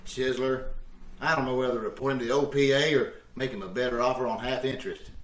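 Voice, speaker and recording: A man's voice: mid-range voice, steady tone, fast, natural voice. A man playing a blustery, indignant, scheming husband in old-time radio comedy, exclaiming and stumbling over his words. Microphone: video call. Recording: roomy, distant home video-call sound.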